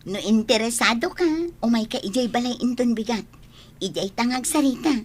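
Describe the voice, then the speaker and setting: A woman's voice: a little high, fairly quick, breathy edge. A woman playing a friendly, persuasive young bar waitress in a scripted audio drama. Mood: sympathetic. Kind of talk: scripted radio-style drama.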